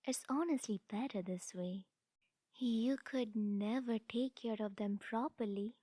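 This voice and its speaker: A woman playing a possessive, scheming yandere sister in a comedic ASMR audio roleplay, breathy and often near a whisper, with a haughty, condescending sweetness. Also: a little high, dark tone, no echo, studio quality. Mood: smug, possessive. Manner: haughty, deliberate, cooing to the listener.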